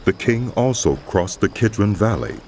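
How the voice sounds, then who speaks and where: A man reading scripted narration for a dramatized scripture recording, with a deep, gravelly voice. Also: lively intonation, normal speed, darkish tone, slight background noise.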